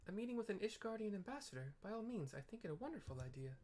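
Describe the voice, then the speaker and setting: A man voicing a composed, gracious female leader from a fantasy game, with formal, measured wording. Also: high voice, very animated, a little mellow, voice pushed up. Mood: agreeable. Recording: clear background noise.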